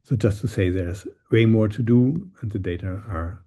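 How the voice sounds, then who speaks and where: Dark, gravelly, husky man presenting research. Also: fairly low pitch. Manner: halting, searching for words, repeating himself. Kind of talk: online scientific presentation.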